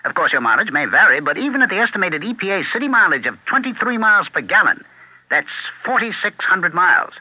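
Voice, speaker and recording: Male announcer, fairly high voice, very lively intonation, upbeat seventies ad read, dry tinny radio sound. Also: fast talker, no echo. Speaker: commercial announcer. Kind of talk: upbeat 1970s radio car advertisement. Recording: dry, narrow old radio broadcast.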